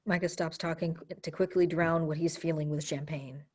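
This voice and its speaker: A woman's voice: low voice, fairly even intonation, normal speed, crowd noise. A woman giving scripted narration, reading stage directions for a play reading.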